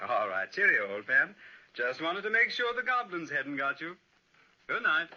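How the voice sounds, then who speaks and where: An actor playing a hearty, excitable upper-class gentleman in an old-time radio ghost drama, with theatrical, emphatic delivery. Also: fairly high pitch, normal speed, heavily acted. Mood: concerned, hearty. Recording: muffled old radio recording.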